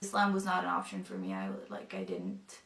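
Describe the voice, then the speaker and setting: A young woman, not a native English speaker, telling her personal testimony thoughtfully, with many pauses and fillers. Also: on the low side, big pitch swings, creaky, a bit bright, reverberant, far from the mic. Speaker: convert telling her story.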